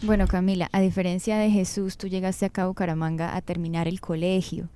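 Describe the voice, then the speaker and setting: A woman hosting a podcast asks steady, probing questions. Her voice is mellow and smooth, and it sits slightly low. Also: a little fast. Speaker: podcast host. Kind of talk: interview questions.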